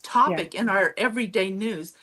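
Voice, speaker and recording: A woman whose first language is Low German, giving reflective, conversational answers as an interview guest. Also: moderate pace, bright, forceful tone. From Mexico, then Texas from childhood.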